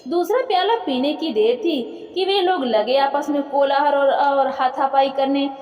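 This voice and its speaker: A woman's voice: high-pitched, measured pace, darkish tone. A woman narrating a moral folk tale in formal literary phrasing, with dramatic storytelling delivery. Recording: echoey room with long reverb.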